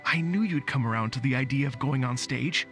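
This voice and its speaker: A man's voice: medium pitch, fairly quick, fairly bright tone, faint music behind, natural voice. A man playing an upbeat, easygoing rock musician with a showman's manner in a video game. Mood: amused.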